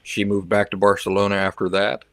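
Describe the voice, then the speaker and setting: A man's voice: lowish voice, monotone, brisk, fairly bright tone, no room sound. A man hosting a livestream, chatting casually with viewers and reacting to their comments, sometimes switching into learner's Spanish. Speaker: livestream host.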